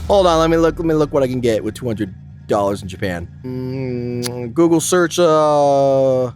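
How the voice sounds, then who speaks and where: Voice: medium pitch, normal speed, a little mellow. A video essay host narrating a script in a sarcastic, exaggerated way and swearing freely. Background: background music.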